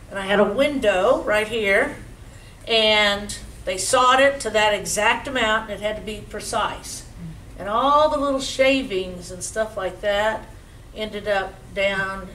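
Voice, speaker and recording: An older woman, a retired teacher with a loud voice, chattily recounting memories to an audience at a community museum talk. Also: mid-range voice, a little hiss or hum. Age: 70s. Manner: chatty, animated storyteller with gestures. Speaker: guest speaker. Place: community museum meeting room, indoors. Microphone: handheld mic, far camera. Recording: roomy, distant camera audio.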